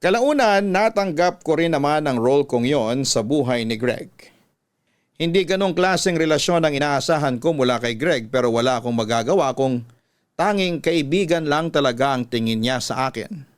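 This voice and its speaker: A man's voice: a little high, expressive, moderate pace. A man with a Filipino accent narrating a listener's letter in a sentimental, confiding classic radio style.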